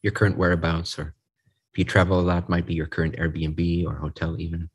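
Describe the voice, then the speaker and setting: Male meditation guide, lower register with a slightly breathy rasp, soothing and unhurried, leaving space between short phrases. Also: flat delivery.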